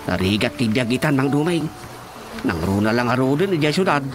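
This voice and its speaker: A man's voice: mid-range voice, very animated, fairly quick, over music, natural voice. An actor with an Ilocano accent playing a friendly provincial man in a radio drama.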